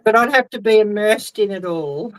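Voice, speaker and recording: A woman's voice: mid-range voice, normal speed, no echo, poor audio. A woman talking over a video call as a guest, hesitant and full of ums. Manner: hesitant, many ums, soft trailing. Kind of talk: casual video call chat.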